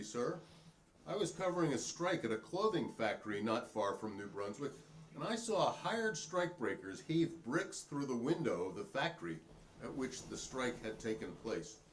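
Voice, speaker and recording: A man's voice: mid-range voice, a little hiss or hum, natural voice, rough recording. A man playing a plainspoken, wry printer testifying politely and pointedly in a dramatic reading of a 1950s hearing.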